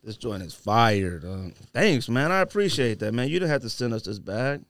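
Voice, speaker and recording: A man's voice: mid-range voice, sing-song, moderate pace, fairly bright tone, no echo. A man hosting a casual podcast chat, joking and exclaiming in slang, close on a studio microphone. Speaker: podcast host.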